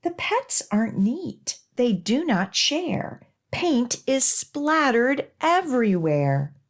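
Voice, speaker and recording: A woman's voice: fairly high pitch, big pitch swings, slow pace, voice pushed up, heavily acted. A woman voicing exasperated, scolding school staff in a children's storybook, emphatic and indignant. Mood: disapproving.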